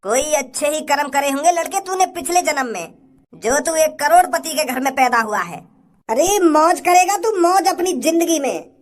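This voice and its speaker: A high-pitched cartoon bandit boss acted by a woman, greedy and full of himself. He taunts and gloats in a theatrical, stretched-out way, menacing yet comic. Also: expressive. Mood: gloating. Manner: taunting, drawn-out, sing-song gloating. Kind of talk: comic cartoon villain dialogue.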